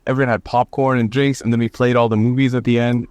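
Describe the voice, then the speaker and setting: A man co-hosting a podcast in casual chat, his voice raspy and a little hoarse from a cold, telling stories in an animated way. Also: medium pitch, fast, no room sound. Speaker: podcast co-host.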